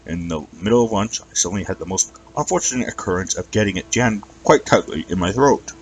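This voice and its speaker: Storybook dragon, played by a man: big, courteous British gent, flowery and pompous, low-pitched. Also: animated, a little fast, a little hiss or hum, rough recording. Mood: genteel, grateful. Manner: pompous, over-polite, flowery. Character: large, polite, genteel male dragon, grateful and flowery.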